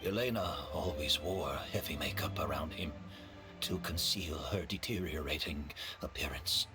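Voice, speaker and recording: A man playing a remorseful, courteous gentleman in a video game, speaking in a measured, earnest way. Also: a bass voice, music in the background. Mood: somber. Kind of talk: dramatic game voice acting.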